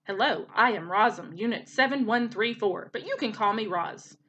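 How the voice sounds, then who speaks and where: Woman voicing a calm motherly robot, bright-toned. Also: mid-range voice, moderate pace, muffled recording, a little hiss or hum. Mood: formal, automatic. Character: gentle motherly female robot.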